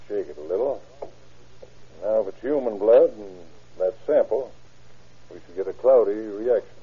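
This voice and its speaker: A patient, obliging country doctor in a vintage radio play. His lowish, rough voice explains things plainly through a thin, tinny old recording. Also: animated, normal speed. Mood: explaining. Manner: folksy, explanatory. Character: obliging, patient small-town doctor explaining lab tests. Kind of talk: old-time American radio drama acting.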